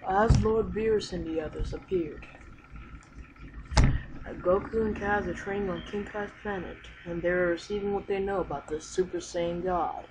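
A woman's voice: lowish voice, normal speed. A woman narrating a roleplay episode recap with rhetorical questions. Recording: noisy home recording.

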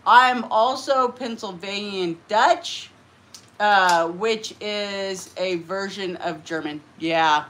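A woman's voice: mid-range voice, takes it slowly, fairly bright tone, slight background noise. A middle-aged woman hosting a casual livestream from her living room, chatty and rambling, often laughing. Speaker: livestream host. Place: living room, green party decorations.

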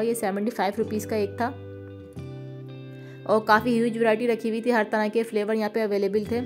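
A woman with a Pakistani Urdu accent, narrating a store-tour vlog and listing prices in a steady, informative voice. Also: medium pitch. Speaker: shopping vlogger. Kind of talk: vlog voice-over. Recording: voice-over with faint music behind.

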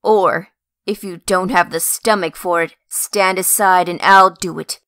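Woman as a sharp, ruthless revolutionary zealot. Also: on the low side, steady tone, a little slow, no room sound, clean studio sound. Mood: impatient, ruthless. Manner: commanding, hard. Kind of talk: amateur comic dub acting.

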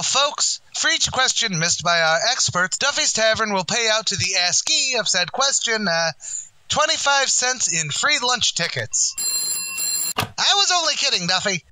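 A man's voice: high-pitched, sing-song, measured pace, pushed and bright, a little hiss or hum, exaggerated voice, poor audio. A man playing a blustering, wisecracking tavern manager who acts the grand quizmaster in a radio comedy. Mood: breezy, officious. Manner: mock-grand quizmaster, malapropisms. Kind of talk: old-time radio comedy acting.

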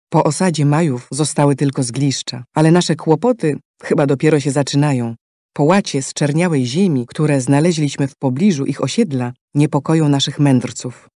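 A man with a soft, dark tone and fairly high pitch narrates a fantasy game story. He is solemn and measured, recorded close and dry. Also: no echo. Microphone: close studio microphone.

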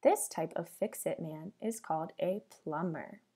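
A woman teacher reading a storybook aloud to young children, with a sing-song delivery, pausing to put questions to them. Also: deep voice, dark tone, husky.

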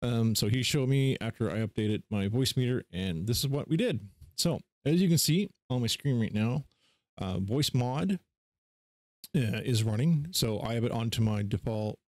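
A man casually talking through software setup steps in an informal, unscripted tutorial style. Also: mid-range voice, expressive, normal speed, no echo. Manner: informal, instructional, step by step. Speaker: tutorial host. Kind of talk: casual unscripted screen-share software walkthrough.

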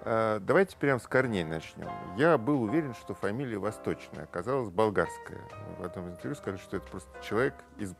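Low gravelly male talk show host. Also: lively intonation, music in the background. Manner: measured questions, frequent murmured agreement. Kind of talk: studio interview. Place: small studio with red curtain.

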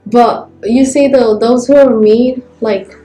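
Teen girl, slow careful talk, soft mellow voice, echoey classroom. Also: fairly high pitch, steady tone. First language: Somali. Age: teens. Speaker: immigrant student. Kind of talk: documentary interview. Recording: echoey classroom, faint music.

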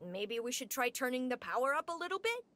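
A very high-voiced anxious child. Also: female voice, sing-song, fast, fairly bright tone, no room sound. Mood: hesitant. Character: anxious young child in an adventure. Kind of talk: anime game voice acting.

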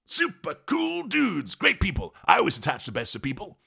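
A man voicing a brash, boastful young male thrill-seeker who talks in loud, breezy slang. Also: high voice, wildly swooping pitch, moderate pace, creaky, husky, pitched above the performer's own voice, heavily acted.

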